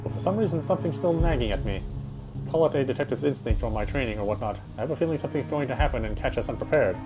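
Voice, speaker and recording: A man's voice: mid-range voice, expressive, rapid-fire, over music. A man reading a horror game story aloud as dramatic narration, occasionally stumbling and rereading phrases.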